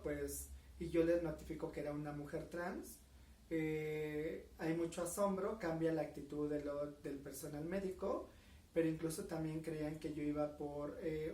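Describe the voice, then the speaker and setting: A woman's voice: low voice, dark tone. Earnest Mexican woman in her forties. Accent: Mexican Spanish. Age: 40s. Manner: measured, earnest, with frequent fillers. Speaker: interviewee. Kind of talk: recorded interview. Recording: echoey room, mic at a distance.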